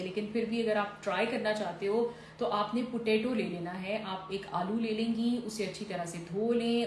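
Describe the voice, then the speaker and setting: A woman's voice: mid-range voice, darkish tone. A woman doctor in her forties sits in a room and talks viewers through skincare advice in an easy, advising manner, with frequent "uh" fillers. The room sounds echoey, with a faint hiss behind her voice. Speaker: dermatologist answering viewer questions. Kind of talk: live-stream Q&A, reading comments aloud. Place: indoor room, seated in a fur-draped chair. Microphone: phone or camera on a stand, mid framing.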